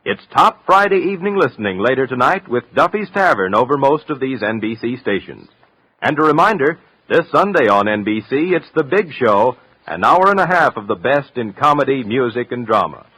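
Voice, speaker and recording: A man working as a network radio announcer, reading scripted 1950s promos in an emphatic, showy style with a husky edge. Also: medium pitch, expressive, normal speed, bright, forceful tone, no echo, low-quality recording.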